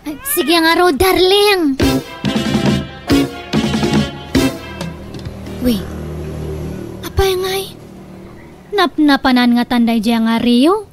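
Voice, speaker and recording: A woman's voice: high-pitched, lively intonation, slow, music in the background. A woman playing a lovestruck young daughter in a comedy radio drama, plaintive and coaxing, defiant with her mother. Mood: puzzled. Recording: faint background noise.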